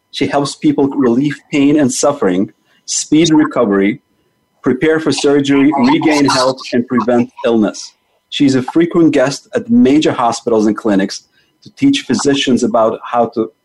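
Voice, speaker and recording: Husky-voiced man hosting a health show. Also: medium pitch, darkish tone, low-quality recording. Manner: emphatic, repeats key figures and words for stress. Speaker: host. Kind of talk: scripted show introduction and interviewing.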